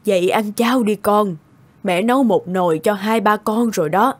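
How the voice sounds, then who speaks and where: A woman playing a kindly, forgiving old grandmother, gentle and consoling, in an animated family drama. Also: mid-range voice, moderate pace, close to an everyday voice. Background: loud music.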